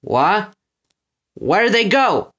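A man voicing a brutish, slow-witted, violent storybook thug who bellows bluntly. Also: sits high, big pitch swings, bright, forceful tone, muffled recording, pitched above the performer's own voice, full character voice. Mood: violent, bellowing.

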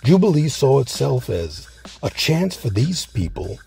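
A man with a husky, gravelly voice reading scripted documentary narration. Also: mid-range voice, sing-song, measured pace, mellow. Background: faint music.

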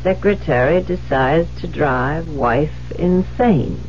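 Cold unhinged killer wife, slow n dramatic, old radio play, muffled w hum. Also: female voice, low-pitched, darkish tone. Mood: cold, controlled. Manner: deliberate, dramatic, menacing. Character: cold, unhinged woman writer who murdered her husband. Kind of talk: 1970s radio drama acting. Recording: dull, noisy 1970s radio recording with hum.

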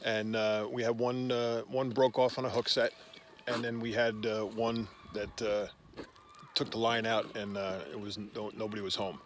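Guy in his 50s chatting to a phone outside, lowish voice, bit of background noise, halting short phrases. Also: level tone. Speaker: host, father fishing with his sons. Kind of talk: casual outdoor vlog narration. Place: outdoors on a frozen lake. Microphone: phone at arm's length. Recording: noisy outdoor phone recording.

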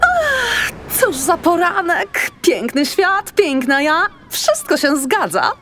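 High-pitched vain, excitable seal. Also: female voice, slow pace, faint music behind, heavily acted. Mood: vain, carefree. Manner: self-admiring, theatrical. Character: vain, lazy, excitable young female seal. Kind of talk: children's audiobook acting.

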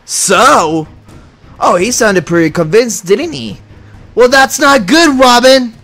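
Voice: medium pitch, lively intonation, slow pace, a bit bright, soft background music. A performer voicing an anxious, paranoid young male superhero leader in a Minecraft roleplay, worried and hesitant.

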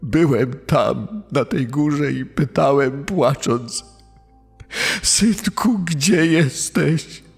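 A man playing a heartbroken father haltingly giving his son's funeral eulogy in a podcast dramatization, gravelly and husky. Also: a little high, full character voice. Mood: grieving, pleading. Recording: long hall reverb, music underneath.

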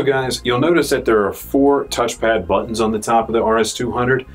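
A young American man presenting a product review in an informal, salesy way, addressing viewers as guys. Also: fairly low pitch. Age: young adult. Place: indoor showroom studio, dark backdrop.

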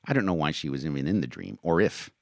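A troubled army private, played by a male narrator speaks with a hot-headed, lovelorn edge. He tends to needle, and his pitch swings widely. It is audiobook character work with a dry sound. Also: very animated, fast, vocal fry, dry recording, heavily acted. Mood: puzzled.

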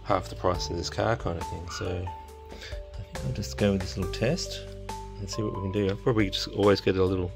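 A very low-voiced man with a creaky, gravelly edge talks casually into a phone around his car. He rambles in an understated way, like a hobbyist thinking aloud as he works. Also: steady tone, fairly quick, over music. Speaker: car audio hobbyist vlogger. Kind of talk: casual unscripted vlog commentary. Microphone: handheld phone.

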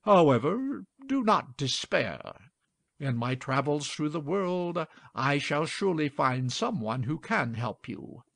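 A stately king of the trees voiced by a man speaks slowly and solemnly. He is kindly and sorrowful, a measured storybook monarch heard in a very dry recording. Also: a little high, sing-song, vocal fry, a bit bright, no echo, exaggerated voice. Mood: solemn, consoling. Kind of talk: storybook character acting.

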